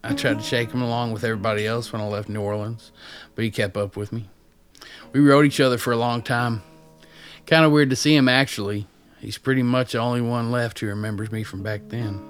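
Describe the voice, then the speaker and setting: An actor playing a reclusive, rough-mannered ex-addict loner with a wry, folksy way of talking in a radio drama. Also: male voice, medium pitch, steady tone, a little fast, faint music behind, natural voice. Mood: guarded, wry. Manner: folksy, plain-spoken, wry.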